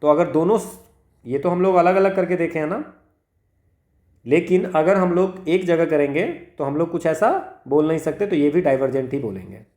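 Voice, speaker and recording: A man's voice: on the high side, normal speed, a little mellow. A man with an Indian accent giving an informal maths lesson, working step by step, asking himself questions and mixing two languages. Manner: code-switches between two languages, asks himself questions, frequent check-words. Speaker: maths teacher for exam preparation. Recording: roomy, echoing sound.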